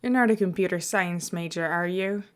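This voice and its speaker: A woman's voice: fairly low pitch, moderate pace, clear, resonant tone, natural voice. A woman voicing an exasperated young male computer science student in a story, dry and teasing. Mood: wry, teasing.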